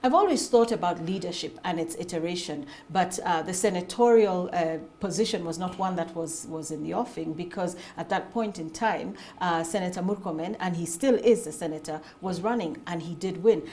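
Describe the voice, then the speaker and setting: A Kenyan woman in her forties, answering interview questions about her run for the Senate in an articulate, measured way. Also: lowish voice, a little mellow. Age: 40s. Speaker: Senate aspirant, guest.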